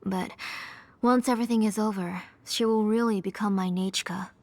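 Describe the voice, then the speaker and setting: A woman playing a grieving, obsessed mother in a dramatic video game, speaking in a measured, brooding way. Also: medium pitch, fairly even intonation, darkish tone, no echo, close to an everyday voice. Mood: resolute, fixated. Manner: measured, brooding, tenderly fixated. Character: grieving, obsessed mother, retired name forger. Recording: faint music behind the voice.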